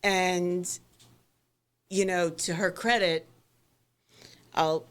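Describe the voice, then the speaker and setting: A middle-aged woman from South Florida with a Florida accent and a New York twang, candidly telling her story as a podcast guest, halting with many ums. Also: lowish voice, fairly even intonation.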